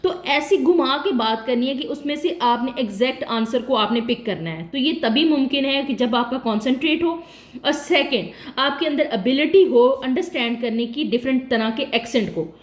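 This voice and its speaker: A woman talks through exam tips in a casual, explanatory way, often posing a question and then answering it herself. Her voice sits a little high. The room around her is echoey. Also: animated, normal speed. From Pakistan. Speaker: test-prep tutor. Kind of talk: casual spoken tutorial.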